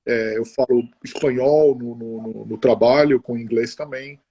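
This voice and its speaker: Mellow husky middle-aged man chatting. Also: medium pitch, steady tone. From Brazil. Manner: chatty, halting, many restarts and tag questions. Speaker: interview guest. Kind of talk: casual conversational interview.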